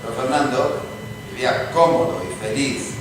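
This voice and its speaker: An actor playing a wry, scornful gentleman storyteller in a dramatized reading. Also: medium pitch, moderate pace, lightly acted. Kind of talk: dramatized narration in dialogue. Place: live reading in a reverberant venue. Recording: echoey hall from far off, clear noise.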